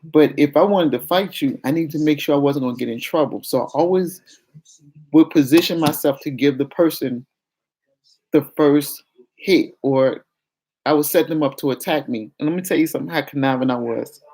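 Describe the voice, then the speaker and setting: A woman vlogger with a fairly deep, projecting voice, talking frankly and confessionally to her viewers in a casual way. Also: normal speed, mellow, low-quality recording. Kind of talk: candid casual talk to viewers.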